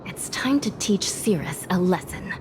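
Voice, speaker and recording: A woman voicing a composed, resolute female judge in a video game, measured and authoritative. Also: on the low side, sing-song, background noise. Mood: composed, determined. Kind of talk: scripted video game voice acting. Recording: voice under prominent music.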